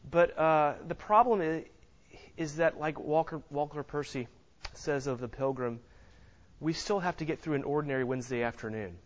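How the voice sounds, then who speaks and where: A man preaching a sermon as a visiting minister in a church, speaking softly with many fillers and pauses, with no microphone. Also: a little high, lo-fi sound. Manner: soft-spoken, halting with frequent fillers. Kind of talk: sermon with scripture reading. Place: church sanctuary. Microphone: no microphone, distant.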